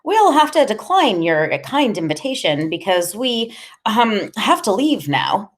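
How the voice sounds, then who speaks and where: Woman acting small brainy boy, raised pitch, formal wording, nervous, hesitant, polite, storybook character. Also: big pitch swings, a little slow.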